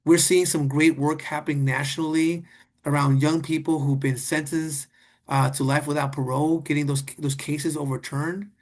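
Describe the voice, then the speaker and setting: Male moderator, fairly high voice, earnest and rambling, conversational panel delivery. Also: little pitch movement, normal speed, darkish tone. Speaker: webinar moderator. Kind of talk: casual panel discussion.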